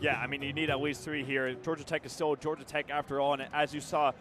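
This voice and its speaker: A man's voice: fairly high pitch, flat delivery, fast, over music. A man giving conversational live football analysis as a radio color commentator. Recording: clearly audible stadium crowd behind.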